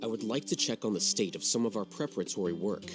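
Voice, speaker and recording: A calculating, aloof young scholar acted by a man from a video game. His voice is on the low side, articulate and composed, delivering each point with measured certainty. Music plays clearly behind him. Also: expressive, natural voice. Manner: measured, matter-of-fact, articulate.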